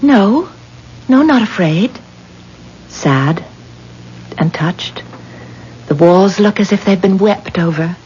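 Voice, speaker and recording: Wistful, gentle young woman. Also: medium pitch, expressive, takes it slowly, long pauses. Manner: tender, wistful, theatrical. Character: gentle, sorrowful, devout young woman in love. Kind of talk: 1970s American radio drama acting. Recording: dull, faintly noisy 1970s radio recording.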